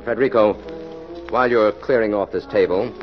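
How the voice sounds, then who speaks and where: A man's voice: lowish voice, fairly quick, lightly acted. A man playing a cool, authoritative police detective in 1940s radio style, speaking firmly in clipped, commanding phrases. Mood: stern. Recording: muffled old radio recording, music behind.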